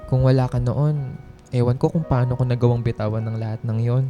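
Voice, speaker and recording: A woman's voice: extremely low pitch, level tone, fairly quick, mellow, lo-fi sound, faint music behind. A woman playing an earnest, self-doubting young office worker in a radio drama, speaking heartfelt, conversational Tagalog-style lines with a Filipino accent.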